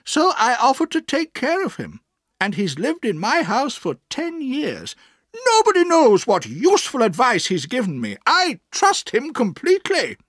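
A narrator voicing a stern, sharp-tongued older woman, an aunt with an emphatic, commanding manner, in a storybook reading. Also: high voice, huge pitch swings, measured pace, dry recording, clean studio sound, pitched above the performer's own voice, heavily acted. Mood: confident.

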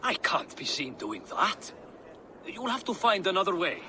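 A loyal but anxious Norse councilman in a game. His worried voice is bright and swings in pitch, sitting a little high, with questioning delivery. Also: sing-song, normal speed, a little hiss or hum, heavily acted. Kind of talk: video game dialogue acting.

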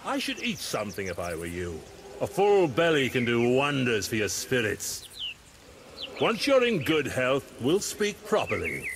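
An actor playing a hearty, welcoming old ghostly pirate lord in a video game, with theatrical storytelling delivery. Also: male voice, mid-range voice, wildly swooping pitch, unhurried, fairly bright tone, slight background noise, heavily acted. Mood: warm, encouraging. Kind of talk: scripted video game character acting.

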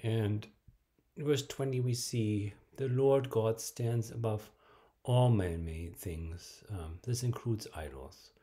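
Slow, measured middle-aged man preaching. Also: fairly low pitch, warm tone. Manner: slow, measured delivery with frequent ums. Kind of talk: home video sermon with scripture reading. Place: bright room indoors at home.

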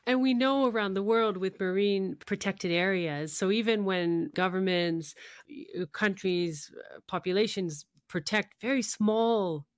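A woman's voice: mid-range voice, a little slow, dry recording, clean studio sound. A woman hosting a radio interview, speaking conversationally as she responds to her guest.

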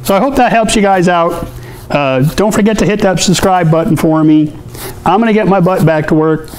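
A man's voice: sits high, normal speed, darkish tone, low-quality recording. A man in his fifties giving a casual hands-on workshop tutorial in a folksy, conversational way. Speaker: artist and welding tips host. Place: indoor cluttered metal workshop.

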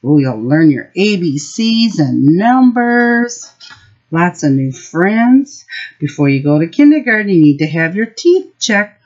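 A middle-aged woman teacher explaining simple words and reading a story aloud to young children, instructive and expressive. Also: fairly low pitch, a little slow. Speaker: preschool teacher. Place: small room at home. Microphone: built-in webcam or laptop mic. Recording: dull, lo-fi webcam audio.

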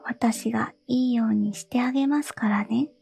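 A woman voicing a polite, gentle frog from a fairy tale in a soft whisper. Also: on the high side, steady tone, moderate pace, vocal fry, breathy edge, faint music behind. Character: slimy bloated frog in a spring, polite and gentle.

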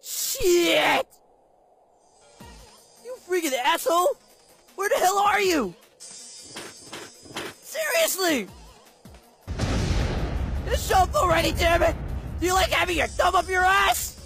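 A man voicing a brash, hotheaded teenage boy who shouts and swears in a fan comedy dub. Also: extremely high pitch, animated, slow, vocal fry, pauses often, music in the background, exaggerated voice. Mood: angry. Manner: brash, foul-mouthed, shouting. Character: brash loud-mouthed teen boy, hotheaded. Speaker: voice actor. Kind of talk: comedy anime parody dub.

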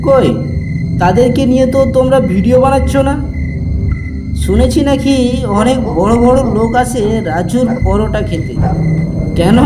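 A man voicing a resentful older village man who complains and fires off rhetorical questions in an animated horror story. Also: extremely high pitch, rough recording.

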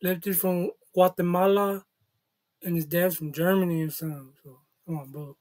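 High-voiced man in laid-back street slang. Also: steady tone, moderate pace, darkish tone. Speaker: reaction channel host. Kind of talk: casual reaction commentary. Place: small indoor room, seated at a desk.

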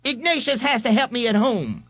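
A man's voice: very high-pitched, expressive, normal speed, fairly bright tone, highs cut off, voice pushed up, exaggerated voice. A man voicing a protective, fretful older mother.

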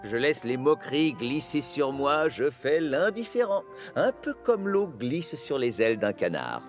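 A man's voice: medium pitch, very animated, a little slow, music in the background. A man voicing a friendly, self-assured male steam engine in a children's cartoon, easygoing and encouraging. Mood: unbothered, proud.

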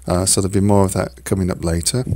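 Croaky, deep-voiced male podcast host, hesitant and full of fillers, close dry sound. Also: level tone, a little mellow, no echo. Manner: halting, many fillers and restarts. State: hoarse, croaky from a cold. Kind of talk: casual solo podcast talk.

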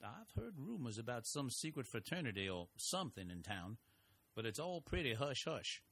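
A man voicing a calm, wry small-town husband with a dry, teasing manner. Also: medium pitch, moderate pace, vocal fry, slightly rough, full character voice. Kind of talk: audio drama acting.

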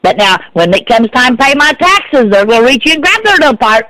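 A woman's voice: on the high side, sing-song, brisk, bright, forceful tone, dry recording. A middle-aged woman, speaking insistently and repeating herself in exasperation on a phone call. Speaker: prank call victim. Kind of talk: phone conversation.

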